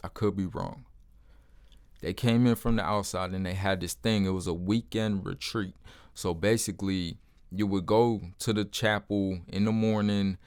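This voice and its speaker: A deep-voiced man talks straight to his viewers in a casual, plain-spoken way. His speech is blunt and informal, with words like "man" scattered through. His voice sits low. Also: fairly even intonation, normal speed, darkish tone, smooth. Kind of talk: casual testimony to camera.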